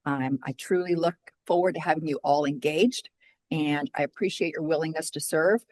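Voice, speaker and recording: Woman, board chair, low register, dry close sound, measured procedural delivery on a video call. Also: a little mellow, no echo. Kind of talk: formal meeting chairing.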